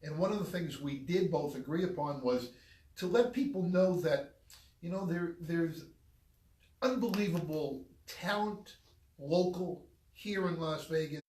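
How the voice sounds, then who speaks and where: A man's voice: on the high side, measured pace, darkish tone, echoey room, far from the mic. A man co-hosting a talk show, congested from a cold, talking casually and rambling. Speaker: podcast co-host. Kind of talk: casual chat. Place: small studio.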